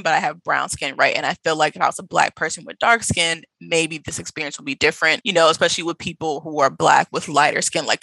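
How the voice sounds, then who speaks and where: A young American woman telling her experiences candidly as a podcast guest, in an animated, emphatic conversational way. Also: low-pitched, vocal fry, no echo. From United States. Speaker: podcast guest, travel blogger.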